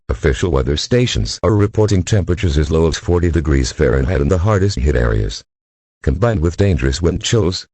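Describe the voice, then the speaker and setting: A man's voice: rumbling low, warm tone, breathy edge, no room sound. A man delivering a scripted emergency broadcast announcement in a formal, impersonal manner.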